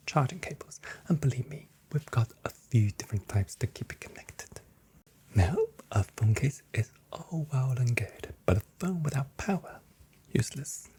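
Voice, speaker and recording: A soft-spoken, whispering ASMR performer playing a shop assistant with unhurried, gently playful sales patter, close to the microphone. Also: mid-range voice, a little hiss or hum.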